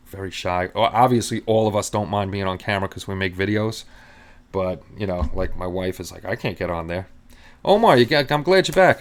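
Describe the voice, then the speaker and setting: A man's voice: on the low side, clean tone. A middle-aged man hosting a casual live stream, rambling easily and chatting with his viewers.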